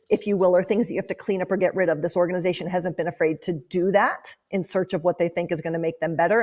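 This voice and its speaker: Female interview guest, sports analyst. Lower-than-average pitch, thoughtful and articulate, leans on key words. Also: darkish tone.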